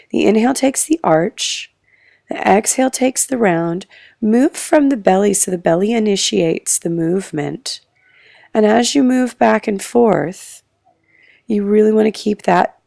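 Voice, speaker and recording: A woman with an Australian accent and a hoarse, croaky voice, guiding a yoga class with calm, breath-paced cues that trail into soft whispers. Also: lowish voice, dry recording, studio quality. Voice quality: gravelly. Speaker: yoga instructor. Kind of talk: guided yoga class instruction.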